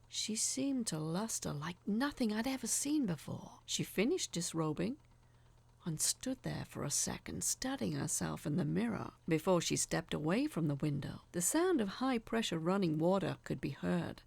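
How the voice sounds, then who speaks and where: A woman narrating a scripted horror story as an awkward, obsessive young male peeping Tom, in a confessional, dramatic manner with breathy drops. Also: fairly low pitch, dry recording, full character voice. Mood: confessional, awed.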